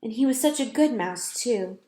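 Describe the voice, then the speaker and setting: A woman's voice: a little high, expressive, normal speed, dark tone, close to an everyday voice. A woman voicing a thoughtful, earnest young boy camper who talks things through. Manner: earnest, reasoning tone. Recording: roomy echo.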